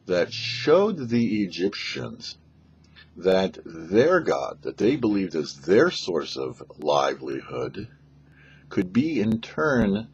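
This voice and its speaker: Older male rabbi for short religious teaching, husky and unhurried, measured emphasis, slightly dull lo-fi recording. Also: deep voice, a little mellow. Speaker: rabbi and show host. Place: small indoor setup before a dark backdrop.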